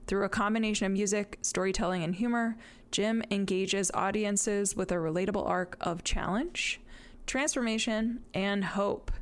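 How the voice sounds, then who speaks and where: A woman hosting a podcast, reading an intro and announcements and then interviewing a guest in an upbeat, quick way. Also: medium pitch. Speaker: podcast host.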